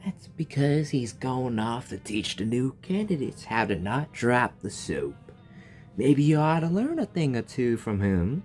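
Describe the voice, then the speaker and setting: A man's voice: medium pitch, sing-song, unhurried, darkish tone, soft background music. A man giving casual, jokey commentary while playing through a game story, talking back to the characters. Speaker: gamer reacting to a story quest.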